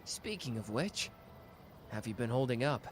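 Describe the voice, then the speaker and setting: A gruff loyal mafia guy, slow and soft to the listener, snaps hard at his rival, music in the back. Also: mid-range voice, sing-song, noisy background. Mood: tender. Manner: gruff, swearing, quick to snap. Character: hot-tempered protective young mafia man, gruff and loyal. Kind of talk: ASMR roleplay acting.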